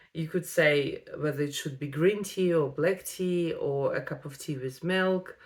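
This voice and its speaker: A woman, an English speaker, telling a personal story to camera with many ums and pauses. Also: low voice. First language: Russian. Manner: unhurried, many ums and pauses, non-native phrasing. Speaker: vlogger.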